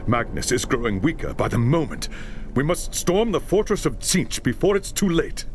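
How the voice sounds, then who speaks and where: A man playing a solemn, commanding loremaster in a fantasy video game, giving grave and urgent counsel in measured phrases. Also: mid-range voice, sing-song, noisy background, close to an everyday voice. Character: grave, commanding male high lore master mage. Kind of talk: dramatic video game cutscene acting.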